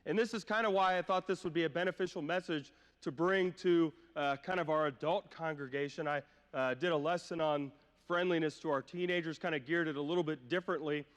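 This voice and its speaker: A man's voice: a little high, a little fast. A man giving an informal, conversational sermon, often checking in with rhetorical questions, his voice slightly off from a cough. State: slight cough, voice a little off from a cold. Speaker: preacher. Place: church hall during a live-streamed service. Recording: long hall reverb, live church stream.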